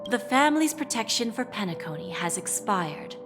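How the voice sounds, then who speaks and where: A woman playing a shrewd, composed corporate negotiator in a video game, speaking with deliberate, assured phrasing and quiet menace. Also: medium pitch, very animated, over music, natural voice. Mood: coolly threatening. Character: cool, shrewd female corporate negotiator, calmly threatening. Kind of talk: dramatic video game voice acting.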